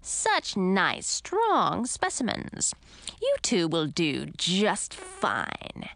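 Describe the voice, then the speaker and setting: A woman's voice: mid-range voice, huge pitch swings, fairly bright tone, exaggerated voice. A woman voicing a sly young witch from a storybook, taunting with mock sweetness and gloating menace. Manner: mock-friendly, taunting, drawn-out words.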